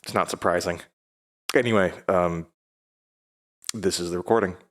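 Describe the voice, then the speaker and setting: An actor playing a haunted man, narrating a horror audio drama in the first person with grave, measured hesitation. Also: sits low, steady tone, noisy background, natural voice. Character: haunted man recounting his horrifying past. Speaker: narrator and lead character.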